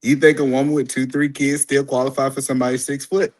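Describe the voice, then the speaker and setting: Sex: man. Role: panelist. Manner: argumentative, example-driven. Pitch: middle. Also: level tone, quick pace. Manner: argumentative, explains through everyday examples. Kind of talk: casual group talk.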